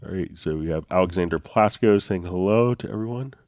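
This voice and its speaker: A deep-voiced man leads a live software tutorial, nasal and congested from a slight cold. He explains things in a loose, easygoing way, often pausing on fillers. His voice sounds dry and close, with no room around it. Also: dry recording. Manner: easygoing, with many fillers and restarts. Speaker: host.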